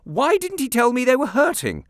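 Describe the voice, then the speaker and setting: Man acting haughty woman, strict aunt, sharp and emphatic, high swooping pitch, storybook character. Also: wildly swooping pitch, normal speed, no echo, studio quality, heavily acted. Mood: sharp asperity. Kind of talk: storybook character voice in audiobook reading.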